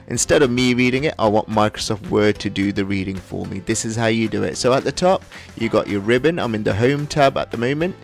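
A man narrating a software tutorial in an instructional, step-by-step way. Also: lowish voice, gravelly. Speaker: tutorial host.

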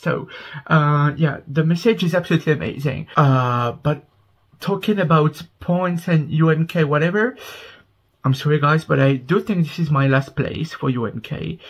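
A young man from France with a French accent, chatting earnestly to camera from his small bedroom about a song he is reacting to. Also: a little high. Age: young adult. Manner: chatty and earnest, gets loud and excited over the music. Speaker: music reaction host. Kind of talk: casual reaction chat to camera. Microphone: webcam at desk, wearing earbuds.

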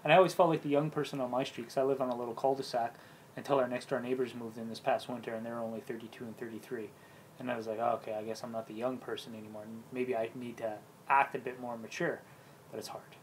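A man in his late thirties talking quickly and loosely among friends. He fills his speech with "you know" and stacked yeahs. Faint ambience sits behind his voice. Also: medium pitch, level tone, slight background noise, low-quality recording. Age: 30s. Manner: fillers and repeated yeahs, quick talker. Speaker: podcast guest. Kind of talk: casual chat among friends.

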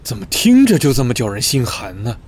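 An actor playing an eager, naive and loyal young servant, earnest and excitable, in an ancient-costume audio drama. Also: male voice, mid-range voice, a little fast, a little hiss or hum. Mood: dismayed.

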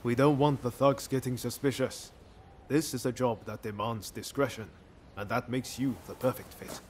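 A man's voice: medium pitch, fairly even intonation, normal speed, a little hiss or hum, close to an everyday voice. A man acting a coaxing, earnest adult samurai comrade in a video game cutscene. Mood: coaxing, resolute.

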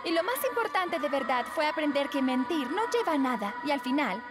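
A woman's voice: sits high, animated, brisk. A woman voicing a sweet, sensitive teenage girl in a cartoon, earnest and contrite. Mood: rueful reflection. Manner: earnest, confessional. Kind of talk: animated cartoon dub. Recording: music behind the voice.

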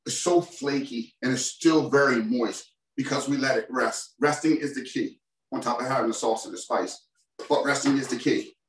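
A man's voice: mid-range voice, normal speed. A man in his forties, a chef giving a casual, enthusiastic cooking demonstration in a home kitchen and talking straight to his viewers. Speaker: chef presenting a cooking demo. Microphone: far camera mic. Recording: roomy kitchen sound, mic at a distance.

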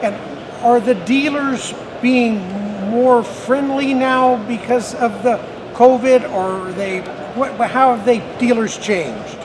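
A man hosts a tour of a busy convention hall, his voice fairly high and his tone upbeat and chatty. Loud crowd chatter from the hall fills the background. He talks as an interviewer, keeping things conversational. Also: slow pace. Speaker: interviewer and host. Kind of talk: convention walkthrough narration and interview.

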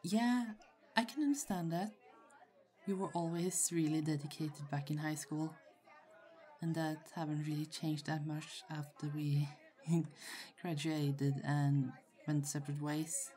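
Slow, low-voiced shy young woman, acted out by a woman. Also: fairly even intonation, mellow. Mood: friendly, flustered. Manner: hesitant, halting, giggly. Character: shy, friendly young woman, nursing student with a crush. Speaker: voice actor in roleplay audio. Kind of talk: ASMR roleplay, acted casual conversation. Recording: faint crowd chatter behind. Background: party crowd murmur.

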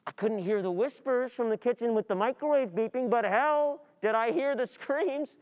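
A young woman playing an overwhelmed, easily distracted student in a theatrical stage monologue, speaking in a halting, confessional way. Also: on the high side, darkish tone, lightly acted, rough recording. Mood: restless, frustrated. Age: young adult. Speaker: stage actor.

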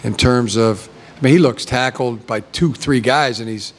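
A man's voice: mid-range voice, moderate pace. A middle-aged man, a head coach, answering press questions with many restarts and fillers at a table mic. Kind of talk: postgame press conference answers. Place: press conference room with backdrop.

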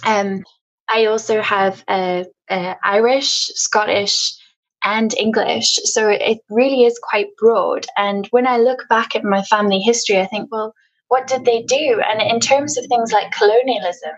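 A woman's voice: on the high side, steady tone, unhurried. A woman in her thirties from South Africa, chatting as a podcast guest with lots of fillers and restarts. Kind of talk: casual interview chat. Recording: slightly dull lo-fi sound.

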